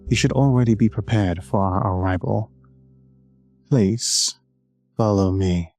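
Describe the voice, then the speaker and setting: A man's voice: on the low side, a little slow, darkish tone, faint music behind. A man playing a stern, formal master mage and professor in a fantasy audio drama, articulate and commanding. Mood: formal, instructive.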